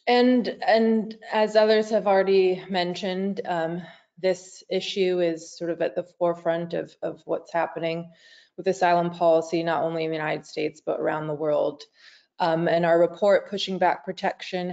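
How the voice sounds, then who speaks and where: A woman with a very low, raspy voice presents research findings on a video call. She speaks in a measured way, often hedging. The call sound is dull and lo-fi. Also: a little mellow. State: raspy voice today. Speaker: research director. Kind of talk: webinar presentation.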